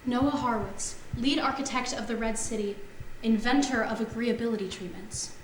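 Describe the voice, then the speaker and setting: A woman's voice: on the high side, moderate pace, recorded from a distance, slight background noise, lightly acted. An actress playing an earnest, distressed woman geneticist in a sci-fi stage musical, with a theatrical, emotive delivery. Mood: uneasy reading. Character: Eve, earnest woman geneticist awakened on Mars, heartbroken, distressed. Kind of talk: staged musical theatre reading, sung and spoken. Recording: echoey theatre hall, loud piano music.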